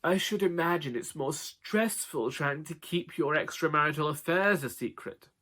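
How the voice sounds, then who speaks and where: A man voicing a haughty, imperious woman in her seventies from Northern England, with a slow, pompous drawl in a comic audiobook. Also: fairly high pitch, bright, forceful tone, pitched above the performer's own voice. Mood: haughty, cutting. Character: Doris, haughty seventy-two-year-old Northern English housewife, imperious.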